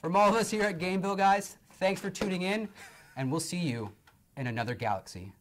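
A young man hosting a livestream in a studio, slightly hoarse from long talking, upbeat and full of fillers. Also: high voice, brisk, background noise. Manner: upbeat, filler-heavy presenting. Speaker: livestream host. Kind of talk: casual game-show chat. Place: indoor studio set. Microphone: lapel mic.

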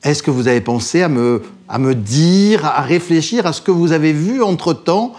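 A man's voice: a little high, lively intonation, moderate pace, darkish tone. A man moderating a panel discussion on an art show as its host. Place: TV studio.

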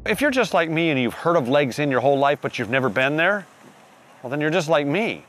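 A man's voice: on the high side, big pitch swings, brisk, fairly bright tone, slight background noise. A middle-aged American man hosting a travel show with upbeat narration and a folksy, joking way of talking. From United States, Michigan. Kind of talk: upbeat scripted narration and interviewing.

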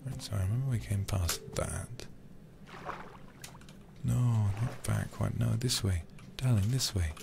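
A man livestreaming a game in a soft, casual ASMR style, murmuring to himself and often slipping into whispers on a close mic. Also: fairly low pitch, a little slow, vocal fry, pauses often, very noisy. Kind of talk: soft, casual ASMR gaming stream chat.

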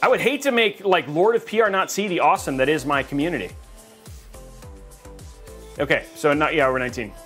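A man's voice: fairly high pitch, a little fast, long pauses, a bit bright, soft background music. A man from Texas hosting a casual livestream, reading chat and joking with viewers, rambling and restarting his sentences.

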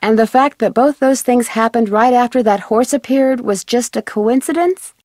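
An actress playing a polite, persistent young amateur detective in a video game, speaking earnestly and precisely. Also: female voice, fairly high pitch, normal speed, a little mellow, no echo, clean studio sound, close to an everyday voice. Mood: questioning. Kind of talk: video game character dialogue.

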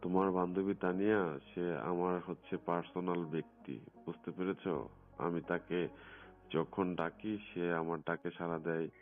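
Low-voiced coaxing man, muffled phone recording. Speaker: phone caller in a recorded conversation. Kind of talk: private phone conversation.

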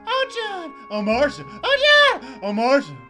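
A man's voice: very high voice, huge pitch swings, a little slow, sharp tone, soft background music, pitched above the performer's own voice, full character voice. A man voicing melodramatic, swooning soap opera lovers in a video game.

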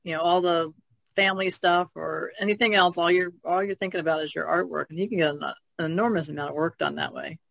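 A woman's voice: on the low side, steady tone, fast, creaky, fairly bright tone, dry recording. A woman chatting casually as a panelist on a podcast. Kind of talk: casual chat.

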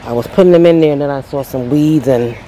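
A woman's voice: sits low, quick pace, rough recording. A woman giving viewers a chatty tour of her backyard patio and garden, talking straight to them into a handheld phone outdoors. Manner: chatty, talks straight to viewers with lively exclamations. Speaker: home renovation vlogger. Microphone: handheld phone camera. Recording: outdoor ambient noise.

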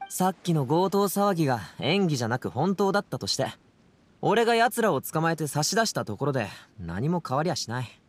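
An actor playing a spirited, stubborn young man in a Japanese game, with rough, casual youthful speech. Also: a little high. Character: spirited young man, frustrated and stubborn about his father.

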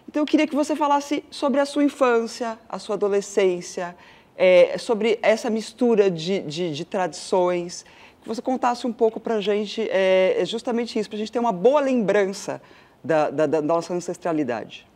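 Brazilian lady interviewer in a tv studio, lots of ums and restarts, middle pitch. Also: lively intonation, fairly quick, darkish tone. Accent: Brazilian Portuguese. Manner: hesitant, with many fillers and repetitions. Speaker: journalist interviewer. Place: television studio.

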